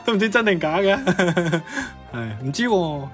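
A man's voice: sits high, very animated, measured pace, fairly bright tone, faint music behind. A man with a Cantonese accent chatting casually as a podcast co-host, responsive and readily impressed. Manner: responsive, exclaiming in admiration. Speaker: radio co-host.

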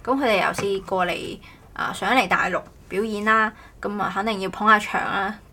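A woman's voice: mid-range voice, normal speed, bright, forceful tone. A woman hosting a casual podcast chat in a playful, teasing way. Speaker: podcast host. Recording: clearly noisy background ambience.

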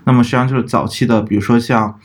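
Guy co-host walking through stuff step by step, average pitch, halting and self-correcting. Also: fairly even intonation, breathy edge. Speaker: podcast co-host. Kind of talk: casual explanatory talk.

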